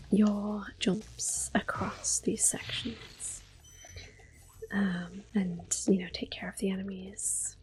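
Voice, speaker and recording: A woman guides listeners through a video game in a hushed, breathy whisper. Her pace is unhurried and her tone stays gentle and chatty, with a few brief exclamations when something goes wrong. Her pitch sits at an ordinary middle height. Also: low-quality recording. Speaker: gaming ASMR host. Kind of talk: soft whispered game commentary.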